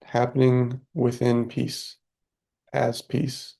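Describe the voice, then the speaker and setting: A man leading a guided meditation in a calm, unhurried, soothing way, speaking softly and leaving long pauses. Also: medium pitch, gravelly, slightly rough.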